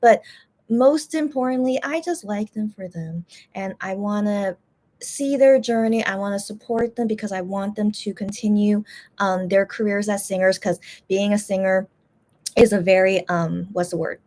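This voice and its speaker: A woman's voice: medium pitch, normal speed, a little mellow. A woman vlogger chatting casually to her viewers, often repeating phrases for emphasis.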